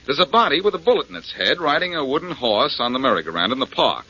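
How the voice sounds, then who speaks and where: An actor playing a self-assured, wisecracking detective hero in a 1940s radio drama, breezy and dry. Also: male voice, mid-range voice, very animated, fast, sharp tone, husky, exaggerated voice. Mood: wry, teasing. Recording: muffled 1940s radio recording with music.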